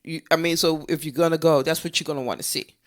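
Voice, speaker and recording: A woman's voice: deep voice, fairly even intonation, fast. A woman co-hosting a casual movie review, talking conversationally and repeating her points for emphasis. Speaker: co-host of a movie review show.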